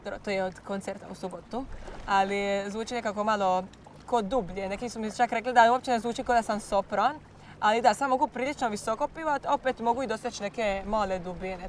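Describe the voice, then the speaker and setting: A teenage Croatian girl speaks hesitant English with a Croatian accent, pausing to hunt for words and sometimes slipping into her native language. Her voice is a touch hoarse and mid-pitched. A faint hiss of noise sits behind her. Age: teens. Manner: halting, searching for English words. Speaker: klapa singer. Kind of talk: interview answers.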